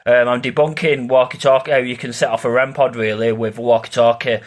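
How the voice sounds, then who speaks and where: A man's voice: medium pitch, level tone, fairly quick. Man giving a rambling informal explainer. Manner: rambling, repeats "yeah" and "obviously" as tags. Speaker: paranormal investigator and video host.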